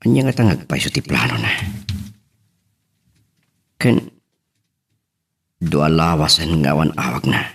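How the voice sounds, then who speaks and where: A man's voice: very deep voice, very animated, a little slow, vocal fry, pauses often, a bit bright, breathy edge, full character voice. A man with an Ilocano accent acting an anxious, brooding husband and father in an audio drama. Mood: lonely, brooding. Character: worried husband and father missing his wife abroad.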